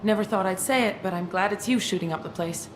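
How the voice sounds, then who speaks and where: A woman's voice: medium pitch, fast, noisy background, natural voice. A woman playing a wry, nervous female alien scientist in a video game, with a conversational delivery. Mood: relieved, wry.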